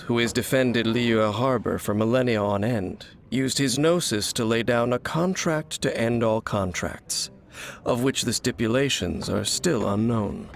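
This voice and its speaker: An actor playing a mysterious, guarded wandering swordsman who speaks in a measured, solemn and formal way. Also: medium pitch, creaky. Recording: game audio with soft music.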